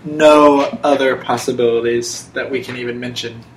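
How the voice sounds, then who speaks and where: A man's voice: medium pitch, fairly even intonation, moderate pace. A young man hosting a casual podcast, talking in a mock-serious, emphatic way. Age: young adult. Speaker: podcast host. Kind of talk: casual podcast chat with playful monologues. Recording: roomy echo, faint background noise.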